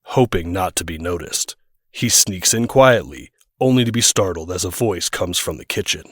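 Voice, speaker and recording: A man's voice: deep voice, lively intonation, moderate pace, no room sound, studio quality. A man reading scripted dramatic narration for an audio drama.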